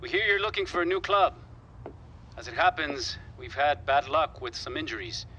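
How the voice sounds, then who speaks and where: Man as a club executive, confident, persuasive, bright forceful tone, game cutscene. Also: medium pitch, a little hiss or hum, lightly acted, rough recording. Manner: upbeat, persuasive. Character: confident male club executive, making a sales pitch.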